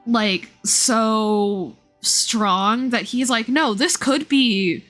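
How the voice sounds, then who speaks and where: A woman streamer with a fairly high, bright voice talks over faint game music. She takes her time, musing casually with irreverent slang. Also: extremely slow. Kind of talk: casual live game commentary.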